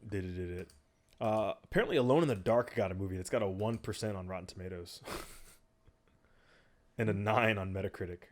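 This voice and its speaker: A man's voice: lowish voice, normal speed, long pauses, a little mellow. A man co-hosting a casual podcast, joking around and rambling with frequent restarts. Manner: joking, rambling banter, often trails off. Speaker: podcast co-host.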